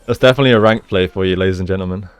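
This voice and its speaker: A man with a low, smooth voice talks through gameplay like a coach. He explains each play casually and slips into gamer slang as he goes. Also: very fast, no room sound. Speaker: esports coach and gameplay analyst. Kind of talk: live gameplay commentary and breakdown.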